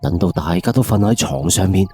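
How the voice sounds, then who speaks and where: A man's voice: low-pitched, expressive, fairly quick, mellow, breathy edge, soft background music. A man with a Cantonese accent narrating a first-person ghost story in a colloquial, suspenseful late-night radio style.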